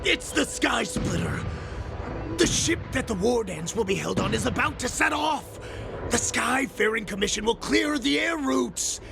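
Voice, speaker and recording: An actor playing a servile, urgent wolf-like minion in a video game, with a rasping voice. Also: male voice, very high voice, lively intonation, unhurried, heavily acted. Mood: alarmed. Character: urgent, servile wolf-like henchman reporting to his lord. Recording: dramatic music behind. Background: music.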